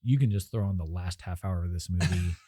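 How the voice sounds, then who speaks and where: A man's voice: low voice, fairly quick, warm tone, breathy edge, no room sound, studio quality. A man co-hosting a podcast, giving blunt, opinionated movie reviews in casual chat. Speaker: podcast co-host.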